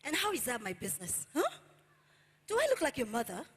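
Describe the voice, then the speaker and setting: A woman's voice: fairly high pitch, sing-song, a little fast. A woman playing an imperious, short-tempered madam of the house in a theatrical stage play, scornful and bossy. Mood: haughty, bossy. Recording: noisy hall with long reverb.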